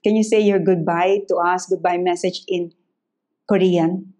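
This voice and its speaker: A woman hosting an interview, asking questions in an informal, halting way. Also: on the low side, fairly even intonation, warm tone. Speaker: host. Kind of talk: casual interview.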